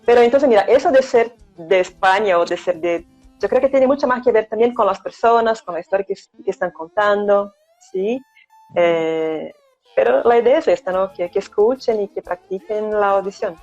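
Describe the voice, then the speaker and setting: A woman's voice: mid-range voice, expressive, normal speed, darkish tone. Brazilian lady teacher chatting on a livestream, keeps saying "no?", lo-fi audio with faint music. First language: Portuguese. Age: middle-aged. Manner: thoughtful, many hesitations and tag questions. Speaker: guest Spanish teacher. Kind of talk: casual chat on a live stream.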